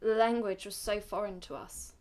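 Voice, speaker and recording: Radio drama grandmother, played by a woman: weary, dignified, solemn, mid-pitched, measured storyteller. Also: a little mellow, close to an everyday voice. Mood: solemn, reflective. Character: weary, dignified Ethiopian-Israeli grandmother recalling her flight.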